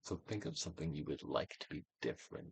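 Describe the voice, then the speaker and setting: A man giving a theatrical, Shakespearean-style dramatic reading of a self-help book, with deliberate pauses. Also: a bass voice, vocal fry, breathy edge, no room sound. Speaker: audiobook reader, actor.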